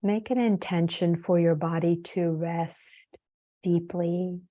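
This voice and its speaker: A woman leading a guided meditation as a yoga teacher, soothing and unhurried, leaving long pauses between phrases. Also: fairly low pitch, fairly even intonation, dark tone.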